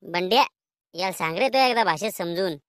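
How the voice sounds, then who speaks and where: A woman's voice: fairly low pitch, animated, moderate pace, no echo, studio quality, close to an everyday voice. A woman voicing a cheeky, quarrelsome village schoolboy in a comedy cartoon, with rural Maharashtrian slang and a taunting, argumentative way of talking.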